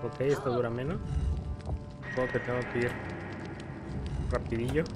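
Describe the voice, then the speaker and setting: A man with a Mexican accent streams a video game and comments casually as he plays. He rambles in loose slang with some playful swearing. Game music plays clearly behind his voice. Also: mid-range voice, steady tone, moderate pace.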